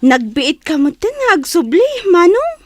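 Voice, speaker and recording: A woman voices a clingy, affectionate little sister in a radio drama. The voice is high and lilting with a Filipino accent, recorded close and dry. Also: sing-song, a little slow, no room sound, full character voice.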